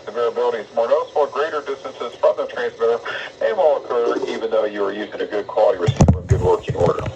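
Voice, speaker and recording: A man's voice: medium pitch, rapid-fire, noisy background. A man reading a scripted public service announcement as a weather radio announcer, formal and impersonal. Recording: muffled distant weather radio broadcast, music behind.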